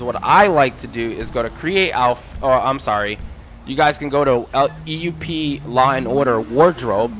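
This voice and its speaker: A man's voice: medium pitch, fairly bright tone, slight background noise. A man casually walking viewers through game mods in an informal, instructive way, addressing them as guys. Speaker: gaming tutorial creator.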